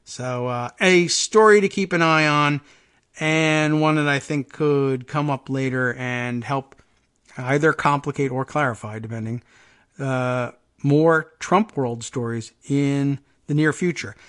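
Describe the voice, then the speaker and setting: A man hosting a political talk show, reading news stories aloud with wry asides, halting on hard names and restarting often. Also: mid-range voice, a bit bright, clean tone. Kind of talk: casual news commentary, reading headlines aloud.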